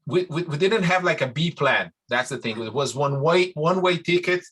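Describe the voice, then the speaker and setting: Bright-voiced Brazilian musician. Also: male voice, fairly high pitch, lively intonation, normal speed, slightly rough. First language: Portuguese. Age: middle-aged. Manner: warm and rambling, with many restarts. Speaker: musician guest. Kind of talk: casual interview.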